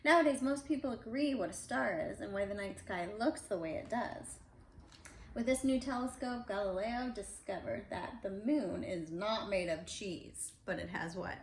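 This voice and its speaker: A woman's voice: medium pitch, normal speed. A woman reading a storybook aloud to kids at home, breaking off for casual, chatty asides to a child. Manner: chatty asides to child between read passages. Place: indoors at home. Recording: roomy echo, clear background noise.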